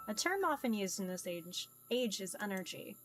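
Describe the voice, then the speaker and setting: A woman voicing a mysterious ancient being in a visual novel, formal, measured, amused and curious about humans. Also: mid-range voice, sing-song, soft background music, natural voice, poor audio. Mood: formal, guiding. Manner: formal, measured, archaic phrasing. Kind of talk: visual novel character read-aloud.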